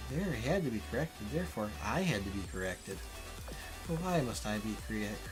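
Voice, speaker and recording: A man's voice: medium pitch, natural voice, rough recording. A man voicing a female machine intelligence, formal and clinical, questioning its existence in a visual novel. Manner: measured, formal, clinical delivery.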